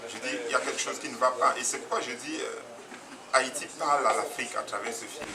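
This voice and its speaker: A man's voice: medium pitch, fast. A man from Cameroon, giving a spontaneous, earnest public comment as an audience member, hesitant, with many fillers and restarts. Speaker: audience member praising a documentary. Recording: distant mic with crowd noise.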